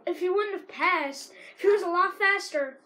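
High-voiced teen rambling over a game. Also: female voice, normal speed. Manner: rambling, with sudden excited shouts at plays. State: sore throat, losing voice. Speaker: gamer. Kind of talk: casual gameplay commentary.

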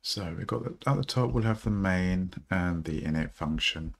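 A middle-aged man with a rough morning voice, thinking aloud slowly and haltingly with many ums while he codes at his desk. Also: sits low. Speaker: programmer streaming a coding session.

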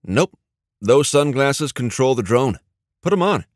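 Guy doing a showy eager tech geek dude for an audiobook, pitched up, teasing and cocky. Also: animated, a little slow, no room sound, clean studio sound, natural voice. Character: excitable, cocky tech-whiz man, showy and playful.

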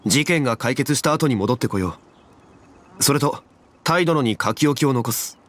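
An actor playing a composed, dignified, knowledgeable man in an anime game, speaking formally and deliberately. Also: medium pitch, lively intonation, fairly bright tone, natural voice. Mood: grave, decisive.

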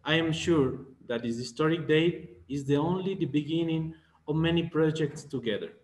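Ecuadorian man, 30s, association president, stiff prepared speech, roomy call audio. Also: mid-range voice. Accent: Ecuadorian Spanish. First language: Spanish. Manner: formal, measured, slightly halting English. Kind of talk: reading a formal welcome speech. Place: small plain room, indoors. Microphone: video call. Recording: echoey room on video call.

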